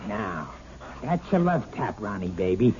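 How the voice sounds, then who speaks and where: An actor playing a bullying, boozy husband in a 1970s radio drama, swaggering and sneering with false joviality. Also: male voice, lowish voice, sing-song, unhurried, heavy background noise. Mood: mocking, menacing. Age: adult. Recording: dull old radio broadcast, music.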